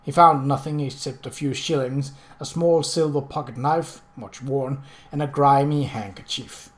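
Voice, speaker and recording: A man reading a novel aloud as scripted narration, in a dramatic and expressive way. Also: mid-range voice, a little slow. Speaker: audiobook reader.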